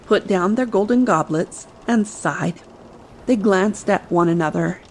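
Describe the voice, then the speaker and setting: A woman's voice: mid-range voice, darkish tone, a little hiss or hum, rough recording. A woman narrator reading a scripted adventure audiobook meant for bedtime listening. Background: ambient sea sound bed.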